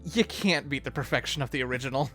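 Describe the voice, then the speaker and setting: A man's voice: mid-range voice, fast, a bit bright, faint music behind. A man co-hosting a fan show, delivering scripted back-and-forth commentary.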